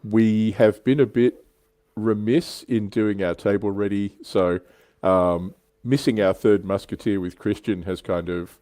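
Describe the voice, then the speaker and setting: Mellow low-voiced man hosting casual chat. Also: fairly even intonation, measured pace, no room sound. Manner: informal, anecdotal, joking. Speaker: show host. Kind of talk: casual chat on a hobby livestream.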